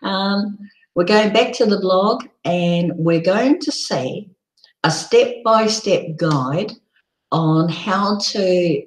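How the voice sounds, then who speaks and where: A woman with a croaky, hoarse voice, hosting a casual live broadcast and rambling with many ums. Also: on the low side, roomy sound, rough recording. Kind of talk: casual live-stream chat.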